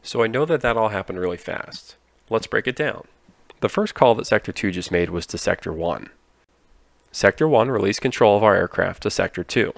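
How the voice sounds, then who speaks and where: Low-pitched male narrator with light fry. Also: no room sound. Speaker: narrator explaining air traffic control. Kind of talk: scripted explainer narration.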